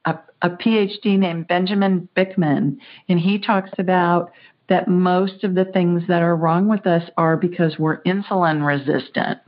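A woman hosting a call-in show, talking in a brisk, chatty, folksy way. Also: fairly low pitch, fairly even intonation. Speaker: psychic show host. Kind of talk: call-in radio chat. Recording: muffled lo-fi audio.

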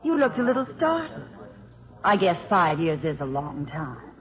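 An actress playing a brazen, scheming, moneyed ex-wife in a 1950s radio drama, theatrical and insinuating. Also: female voice, low-pitched, very animated, normal speed. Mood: coolly coaxing. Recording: muffled 1950s radio recording.

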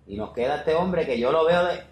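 A man's voice: fairly high pitch, animated, brisk. A man hosting a podcast interview in a casual, rambling way, often repeating phrases for emphasis. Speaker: podcast host. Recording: roomy echo with faint background noise.

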